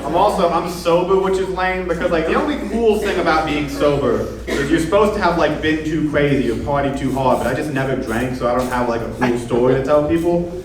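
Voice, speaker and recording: A young man with a lisp performing a deadpan, self-deprecating stand-up set, full of "like" fillers. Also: a little high, a little fast, hardly any pauses, far from the mic, a crowd in the background. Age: young adult. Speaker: stand-up comedian. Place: comedy club stage with laughing audience. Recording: roomy club sound with audience noise.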